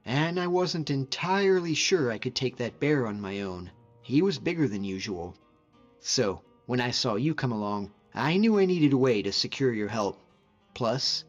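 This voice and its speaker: A man playing a friendly, modest lone-wolf hunter as a video game companion, speaking in an earnest, measured way. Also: mid-range voice, dull audio, lightly acted.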